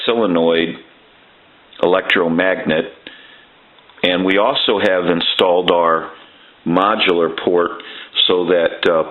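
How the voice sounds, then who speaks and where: A man walking through a telephone repair in his workshop, explaining each step plainly and pausing often with "uh". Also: low voice, reverberant, narrow, tinny audio.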